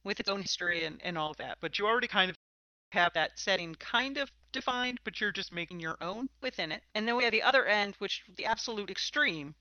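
A woman's voice: medium pitch, animated, fairly quick, sharp tone, no echo. A woman hosting a podcast, chatting and interviewing in an informal, teasing, encouraging way. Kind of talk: casual conversational interview. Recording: slightly dull, lo-fi podcast audio.

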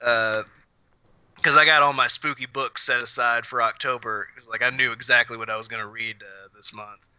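Male podcast host, crisp and clear, casual, opinionated and sweary, very dry sound. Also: medium pitch, fairly even intonation, moderate pace, bright, forceful tone, dry recording. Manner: opinionated, blunt, profane. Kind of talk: casual chat with friends.

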